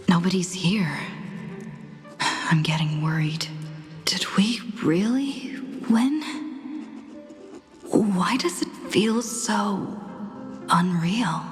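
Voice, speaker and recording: A woman's voice: on the low side, very slow, long pauses, soft background music. An actress playing a dazed, anxious young woman traveler in a video game, hesitant and half-whispering. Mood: worried, uneasy. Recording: long reverb on the voice.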